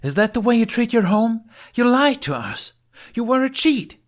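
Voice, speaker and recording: A man voicing an angry young Viking warrior woman in an audiobook, forceful and accusing. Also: very high-pitched, big pitch swings, normal speed, voice pushed up. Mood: furious, accusing. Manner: indignant, forceful, shouting accusations.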